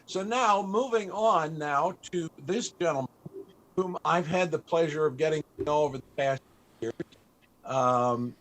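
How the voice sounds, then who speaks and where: An older man hosting an interview, chatty and a bit halting, with frequent chuckles. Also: a little high, fairly bright tone, rough recording. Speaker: panel host.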